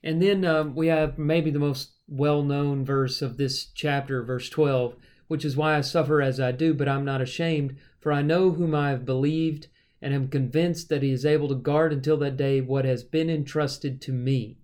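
A man teaching the Bible in a conversational, measured way, moving between explanation and reading verses aloud. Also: fairly high pitch, steady tone, a little mellow. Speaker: Bible study podcast host and teacher.

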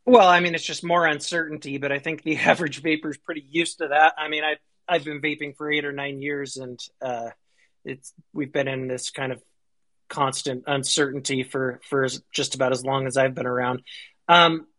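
A man speaking as a guest on a casual panel, relaxed and conversational, with frequent restarts. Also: medium pitch, moderate pace.

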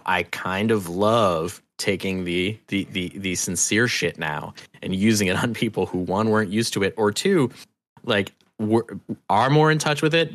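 Male podcast guest, lowish voice, dry close sound, casual, effusive, sweary. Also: fairly bright tone, no room sound. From US East Coast. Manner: informal, halting restarts, freely swearing.

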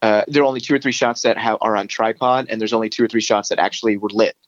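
A man's voice: fairly low pitch, steady tone, fast, no room sound, dull audio. A man with a standard American accent, a filmmaker guest chatting casually and animatedly, swearing now and then. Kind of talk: casual interview chat.

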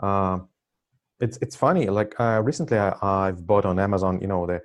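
A man's voice: deep voice, normal speed. Hesitant Russian-accented man in his forties. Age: 40s. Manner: hesitant, frequent fillers, laughs often. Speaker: interview guest. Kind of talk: casual conversation.

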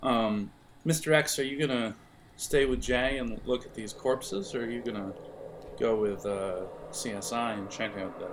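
A man running a tabletop game as game master, improvising scene descriptions in a hesitant, halting way. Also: mid-range voice, noisy background.

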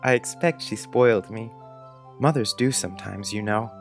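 A man's voice: fairly low pitch, normal speed, soft background music, natural voice. A man playing a sensitive, dreamy little schoolboy in a storybook, speaking in an earnest, wistful, confiding way. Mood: earnest, affectionate.

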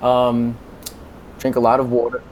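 An American man in his forties speaking conversationally as an interview guest, in a halting way that often repeats words. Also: medium pitch, monotone, darkish tone, a little hiss or hum, poor audio. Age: 40s. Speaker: podcast guest, music consultant.

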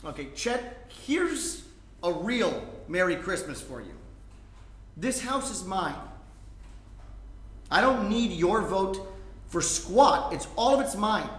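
A man acting out a fretful, fed-up younger brother in a live comedic play reading. His voice is high for a man, with terse, testy replies. It rings in a roomy space. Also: very animated, slow pace, long pauses, exaggerated voice. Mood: angry, resolute. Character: exasperated, anxious younger brother standing his ground. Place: indoor room before a live audience. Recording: roomy live stage recording.

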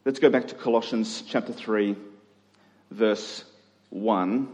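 A man preaching an expository sermon to a congregation, conversational in tone with deliberate, emphatic phrasing. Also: lowish voice, darkish tone. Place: large reverberant room, live church service. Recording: reverberant hall.

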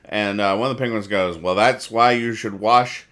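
A man hosting a casual comedy podcast, describing comic strips aloud and explaining their jokes in a rambling, halting, mock-serious way. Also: on the low side, fairly even intonation, fairly bright tone. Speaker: podcast host reading newspaper comics.

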